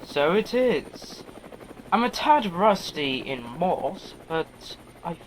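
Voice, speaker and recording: A man's voice: fairly high pitch, big pitch swings, takes it slowly. A man acting in an audio drama as a dutiful military subordinate reporting to his commander. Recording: noisy background ambience.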